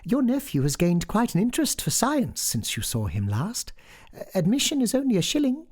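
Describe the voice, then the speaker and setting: A man voicing a genteel, cheerful Victorian woman, brisk and polite, in an audio story. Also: sits high, wildly swooping pitch, voice pushed up, heavily acted. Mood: bright, eager. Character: Meredith, genteel, cheerful sister, adult Victorian Englishwoman. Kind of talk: audiobook character acting.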